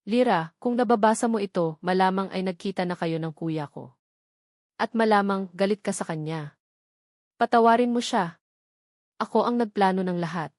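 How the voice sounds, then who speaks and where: A woman voicing a man's tender farewell letter, with an earnest, gentle appeal, in a romance audio drama. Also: medium pitch, moderate pace, long pauses, no echo, clean studio sound, natural voice. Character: tender younger brother's farewell letter, forgiving man.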